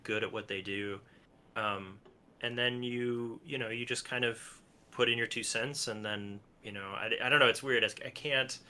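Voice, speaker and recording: A man talking casually as an interview guest, thinking out loud in a halting, rambling way with repeated false starts. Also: medium pitch. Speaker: guest musician and film and TV composer.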